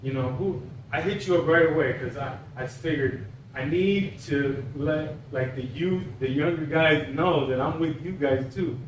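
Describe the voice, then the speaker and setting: A man's voice: fairly high pitch, a little slow, few pauses, distant mic, a little hiss or hum. Cuban-born man in his forties, roomy sound. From Cuba. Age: 40s. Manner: encouraging, repeats words while searching for them. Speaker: podcast host. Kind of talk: casual interview chat.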